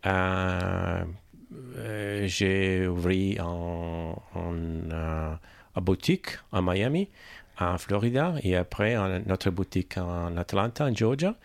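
An Italian man speaking with an Italian accent as a radio guest, halting and searching for words, in a radio studio. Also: deep voice, creaky, no room sound. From Italy. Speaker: radio guest, business founder. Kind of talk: radio interview answers.

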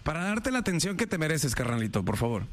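A male radio host with a fairly high-pitched voice. He runs a lively call-in debate, pushing provocative questions in quick succession.

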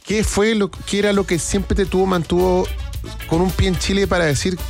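A man's voice: sits high, steady tone, moderate pace, vocal fry. A man hosting an interview, asking long, winding questions, with faint music behind. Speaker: interviewer host.

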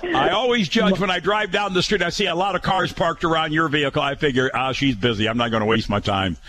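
A man's voice: a little high, fast, a bit bright. A man hosting a radio interview, chatty with friendly banter. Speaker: radio host.

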